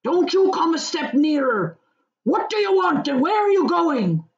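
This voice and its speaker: A woman voicing a gruff old male hobbit farmer who speaks in blunt country talk, on slightly dull audio. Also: fairly high pitch, expressive, a little slow, pitched above the performer's own voice. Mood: challenging. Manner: rustic country speech, bossy.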